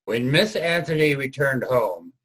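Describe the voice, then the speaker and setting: Husky, animated male narrator. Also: medium pitch, unhurried. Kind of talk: scripted historical narration for reader's theater.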